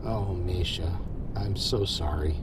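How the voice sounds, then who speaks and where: A man's voice: low-pitched, slow, noisy background. A man playing a supportive, self-deprecating starship captain in an audio drama, earnest and folksy. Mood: gentle concern. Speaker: voice actor.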